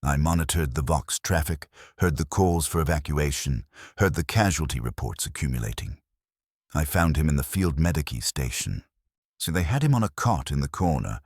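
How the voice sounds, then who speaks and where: A man with a husky, gravelly voice narrating a dramatic scripted story in a solemn, measured way, in short clipped phrases. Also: very deep voice, expressive, no echo, studio quality. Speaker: narrator of lore stories.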